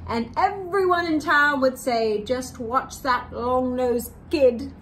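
A woman's voice: sits high, slow pace, slight background noise, rough recording. A young woman with a British accent reading a children's story aloud in a theatrical, exclamatory, genteel way. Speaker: co-host of children's read-aloud channel. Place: living room with piano, indoors.

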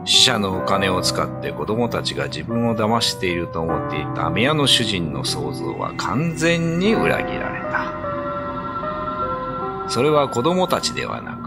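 A man narrating a scripted ghost story as a dramatic storyteller. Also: fairly low pitch. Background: background music.

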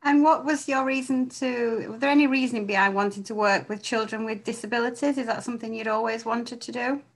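A woman asking interview questions over a video call from an office, friendly and measured. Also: on the high side, steady tone. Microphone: laptop webcam video call.

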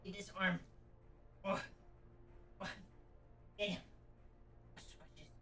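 A woman's voice: mid-range voice, steady tone, extremely slow, bright, forceful tone. A woman baby-talking to a pet dog in short, cooing, repeated phrases. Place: indoor room, away from the mic. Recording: roomy, distant from mic, music behind.

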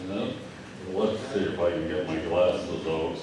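An older man with a Michigan accent preaching a sermon at a lectern, speaking haltingly with many fillers and repeated words. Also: low-pitched, steady tone, noisy background. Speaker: pastor. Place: church hall with stage and screen. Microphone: lectern mic from a distance. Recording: echoey hall, distant mic.

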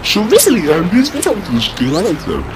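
A man's voice: high voice, huge pitch swings, fast, a loud crowd, rough recording. A man, talking casually to camera as he sets up a prank. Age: 20s. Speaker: prankster vlogger. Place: indoor room in a house.

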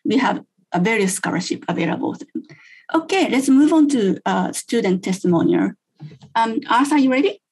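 A woman's voice: a little high, lively intonation, normal speed, a little mellow, husky, rough recording. A woman professor moderating an online presentation on a video call, with brief, hesitant remarks. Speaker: associate professor hosting the session. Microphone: video call webcam.

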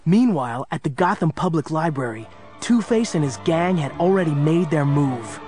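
An actor playing the dramatic storyteller of a superhero adventure, reading scripted narration. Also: male voice, fairly high pitch, expressive, moderate pace, darkish tone, soft background music, lightly acted.

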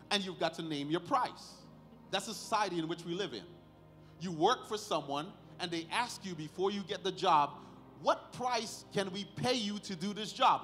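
A man preaching as a pastor at a ceremony, speaking in deliberate short phrases with emphatic stresses and rhetorical questions. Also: sits high, fairly bright tone. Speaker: pastor leading a baby dedication. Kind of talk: sermon, ceremonial address to family and congregation. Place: large church sanctuary, indoors. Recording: hall reverb, faint music behind.

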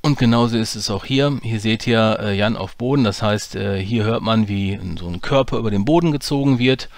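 A man walking through his dialogue editing in a relaxed, explanatory way, with many fillers. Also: lowish voice, a little fast, no echo. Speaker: audio drama producer teaching editing. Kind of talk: screen-recorded software tutorial.

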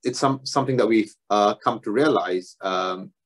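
A young man from Singapore giving a relaxed academic talk as a doctoral student, self-deprecating and dotted with fillers. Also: fairly low pitch, moderate pace. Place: home room, video talk.

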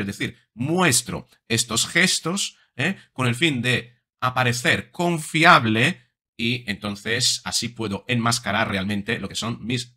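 Man, forties, bright forceful voice, explanatory commentary, frequent tag questions, close desk mic. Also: mid-range voice. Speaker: psychologist, video commentator. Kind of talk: analytical commentary on body language. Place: small room indoors. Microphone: desk microphone close in front.

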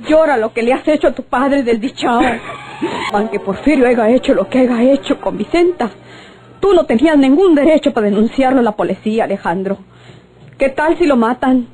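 Scolding woman, real high voice, dramatic old radio soap. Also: expressive, fairly quick, mellow, rough recording. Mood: reproachful. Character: reproachful, pleading woman of a bandit's circle. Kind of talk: old Mexican radionovela acting.

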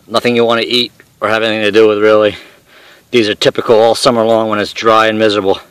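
A middle-aged man with a fairly low voice talks bluntly and casually, tossing off salty remarks. He is outdoors on a forest trail, recorded on a handheld camera. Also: flat delivery, quick pace, a bit bright, smooth, no echo. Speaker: father showing mushrooms on a hike.

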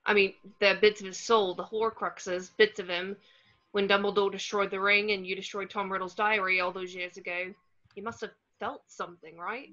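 A woman's voice: medium pitch, little pitch movement, normal speed, sharp tone, close to an everyday voice. A woman reading the part of a loyal, blunt British teenage boy wizard at a table read on a video call. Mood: earnest. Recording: muffled lo-fi video call audio.

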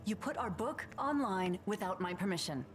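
A woman's voice: mid-range voice, lively intonation, moderate pace, close to an everyday voice. A woman playing a flustered, skeptical scientist in a comedic game cutscene, indignant and pleading. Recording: music under the dialogue. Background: music.

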